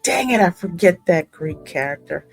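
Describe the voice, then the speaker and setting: A woman with a notably low voice hosts a gaming video, reading narration aloud and dropping into casual chat. Soft music sits clearly behind her voice. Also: lively intonation, moderate pace. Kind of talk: reading game story aloud, casual reactions.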